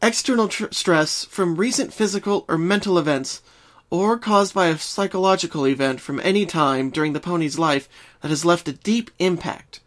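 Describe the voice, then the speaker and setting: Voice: mid-range voice, moderate pace, voice pushed up, natural voice. A performer voicing a caring, bookish young female unicorn pony who speaks earnestly and precisely in a cartoon fan reading. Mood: studious. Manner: concerned, precise, articulate.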